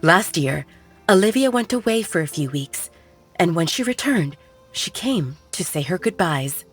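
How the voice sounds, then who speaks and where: Woman reading an audiobook story, voice a bit low, soft music behind her. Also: lively intonation, measured pace, breathy edge. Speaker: audiobook narrator. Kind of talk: scripted dramatic story narration. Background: background music.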